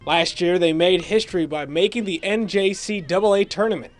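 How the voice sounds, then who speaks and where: A man's voice: high-pitched, fairly quick, a little hiss or hum. A man narrating a scripted television sports report.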